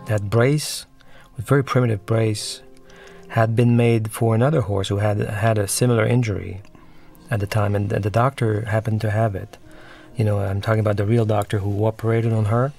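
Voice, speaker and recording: A man's voice: on the low side, fairly even intonation, normal speed, gravelly, a little mellow. A man giving casual, reflective commentary on a film he made, explaining and rephrasing as he goes, with the film's soundtrack underneath. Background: film music and dialogue.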